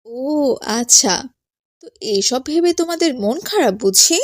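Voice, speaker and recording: A woman's voice: high voice, animated, a little slow, no room sound. A woman voicing a poor, humble widowed mother in a cartoon, tender and earnest with her children. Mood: concerned. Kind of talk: Islamic cartoon dialogue.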